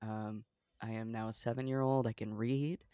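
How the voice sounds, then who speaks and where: A young man from Oregon hosting a casual comedy podcast chat, with a playful, deadpan way of joking. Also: fairly low pitch, normal speed, crowd noise. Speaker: podcast host. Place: New York.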